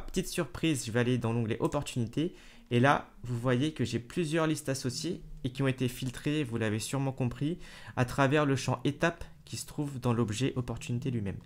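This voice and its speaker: A man hosting a screen-recorded software tutorial, talking casually to the viewer with fillers and self-corrections. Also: mid-range voice. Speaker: tutorial host.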